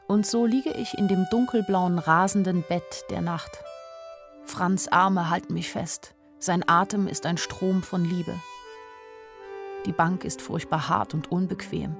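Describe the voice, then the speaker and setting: A woman's voice: fairly low pitch, gravelly. An actress playing a frightened, loving young woman on the run in a radio drama, telling her story in short, intense sentences. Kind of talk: radio drama, first-person inner narration.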